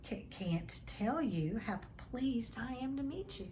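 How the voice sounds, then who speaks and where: A woman's voice: a little high, sing-song, slow, a little mellow, slight background noise. A woman voicing a timid young male professor who stammers heavily and mutters in a read-aloud story. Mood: nervous, stammering. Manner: heavy stutter, muttering.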